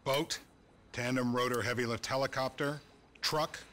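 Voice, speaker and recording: An actor playing a shady, matter-of-fact government agent with an American accent, giving a briefing in a video game. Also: male voice, mid-range voice, fairly even intonation, normal speed, fairly bright tone, a little hiss or hum, close to an everyday voice. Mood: businesslike. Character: shady male government agent handing out jobs.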